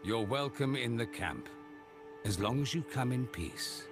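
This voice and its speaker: Measured, expressive camp peacekeeper. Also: male voice, medium pitch, over music. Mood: earnest, welcoming. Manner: measured, firm. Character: calm, commanding male camp dweller, welcoming but firm peacekeeper. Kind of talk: fantasy game acting.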